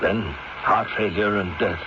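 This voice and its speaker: An actor playing a resolute family doctor with a measured, authoritative manner and an American accent in a 1970s radio drama. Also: male voice, on the low side, steady tone. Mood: grave, resolute. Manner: measured, authoritative, repeats himself. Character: resolute male family doctor calmly exposing a crime. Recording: muffled, noisy 1970s radio recording.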